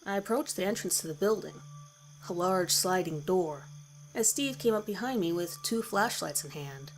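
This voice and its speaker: A woman's voice: fairly low pitch, expressive, normal speed, slight background noise, low-quality recording. A woman reading a scripted first-person horror story as its narrator. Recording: music bed under the voice. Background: background music.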